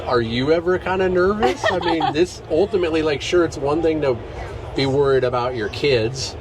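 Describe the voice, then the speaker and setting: A man's voice: fairly high pitch, moderate pace, background noise. A man hosting a podcast, asking friendly, rambling questions full of "like"s. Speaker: podcast host. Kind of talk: casual interview chat. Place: outdoors at a trade show.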